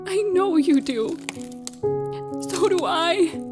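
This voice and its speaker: A woman playing a sorrowful, apologetic mother in an audio drama, speaking gently and hesitantly. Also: extremely high pitch, lively intonation, slow pace, over loud music, heavily acted. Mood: tender.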